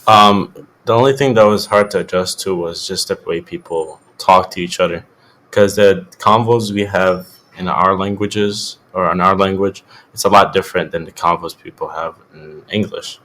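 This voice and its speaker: A teenage boy born in Syria and raised in Jordan, with Arabic as his first language, answering questions in a loose, relaxed way full of "um" and "kinda". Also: low voice, moderate pace, low-quality recording. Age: teens. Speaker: student guest. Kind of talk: casual interview answers.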